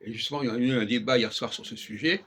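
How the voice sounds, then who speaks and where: An older man from France speaks in a loose, reflective monologue. His voice is husky and slightly rough. He hesitates often, breaking off and restarting his phrases. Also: mid-range voice, quick pace, a bit bright. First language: French. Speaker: channel host. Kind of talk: casual spoken reflection.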